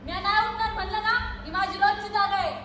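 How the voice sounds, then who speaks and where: A woman's voice: extremely high pitch, fast, fairly bright tone, slight background noise. A woman playing a stubborn, defiant village woman in an amateur stage play, recorded in a very echoey hall. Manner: defiant, insistent. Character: stubborn village woman refusing to budge from her spot.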